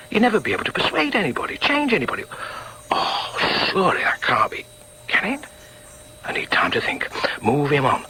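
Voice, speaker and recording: A man's voice: mid-range voice, sharp tone, slight background noise, rough recording. An actor playing a troubled, self-doubting middle-aged father in a radio drama, with a hesitant, stammering Northern English delivery. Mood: agitated, doubting. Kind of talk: radio drama with muttered inner monologue.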